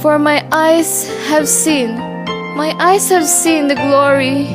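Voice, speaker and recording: A woman plays a dying grandmother in a radio drama, tender and weary. Her very high voice moves slowly and haltingly, repeating words, under prominent music. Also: steady tone. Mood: serene, fading. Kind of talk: radio play acting. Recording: loud music behind the voice.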